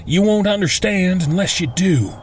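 A male narrator plays a rattled, sleepless man of the mountains in a horror tale. His voice is pushed up high, dry and strained. He speaks in a folksy, wavering, urgent way over faint noise. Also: lively intonation, moderate pace. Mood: anxious, urgent. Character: shaken rural mountain man, sleepless and anxious.

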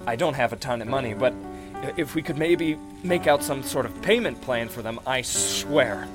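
A man playing a grieving widower and recovering alcoholic in a radio play, stammering and halting with emotion. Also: mid-range voice, music in the background, lightly acted. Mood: humbled, grateful.

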